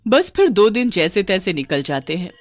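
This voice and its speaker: A woman's voice: mid-range voice, big pitch swings, normal speed, faint music behind. A woman storyteller reading a scripted horror story in a dramatic narrating style.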